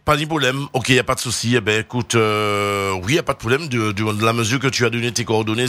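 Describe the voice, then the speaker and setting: A man hosting an informal interview show, talking in a wordy, halting way and often repeating his phrases. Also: mid-range voice, sharp tone. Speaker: radio show host.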